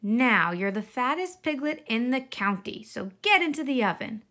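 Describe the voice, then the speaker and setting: A woman's voice: fairly high pitch, sing-song, normal speed, fairly bright tone. A woman voicing a bossy, hungry, short-tempered male fox villain in a children's storybook, gloating and growling. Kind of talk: children's storybook read-aloud.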